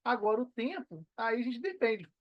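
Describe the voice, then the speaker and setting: A man's voice: very high voice, very animated, moderate pace, no room sound, lo-fi sound. A man with a Brazilian Portuguese accent speaking as a podcast guest in a casual, motivational way, repeating phrases for emphasis.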